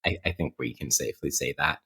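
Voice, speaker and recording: A man's voice: extremely low pitch, fast talker, pushed and bright. A man hosting a casual, intellectual podcast interview, with academic vocabulary and a playfully mock-formal manner. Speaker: podcast host.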